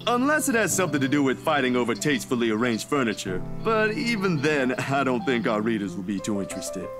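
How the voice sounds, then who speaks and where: An actor playing a polite, self-effacing young journalist in a visual novel game, courteous and slightly hesitant. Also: male voice, mid-range voice, very animated, quick pace, over music, lightly acted. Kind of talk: scripted visual novel voice acting.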